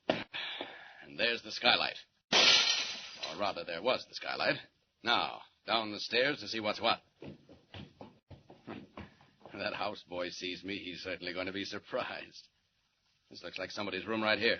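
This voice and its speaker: An actor playing a confident young hero reporter, talking in a brisk, commanding, wry way in 1940s radio drama style. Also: male voice, on the low side, noisy background. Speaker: lead. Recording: dull old radio recording.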